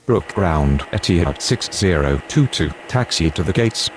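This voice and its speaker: A man's voice: sits low, lively intonation, measured pace. A man playing a businesslike aviation radio operator with scripted calls. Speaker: air traffic radio voice. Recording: loud vehicle-like ambient noise.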